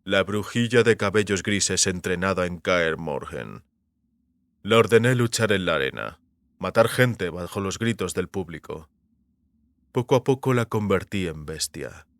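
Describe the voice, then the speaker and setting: A man voicing a brutal, gloating bounty hunter in an audiobook, speaking slowly and menacingly through gritted teeth. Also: deep voice, lively intonation, fairly bright tone, no echo, clean studio sound, voice pushed down, exaggerated voice. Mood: cruel boasting. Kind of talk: audiobook character acting.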